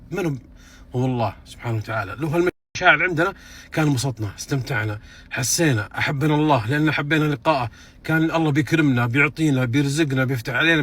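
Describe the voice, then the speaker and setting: A man's voice: on the high side, pushed and bright. A Saudi man giving an earnest religious reflection, repeating phrases in a preaching cadence. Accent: Saudi Arabic. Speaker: speaker recounting leaving hospital.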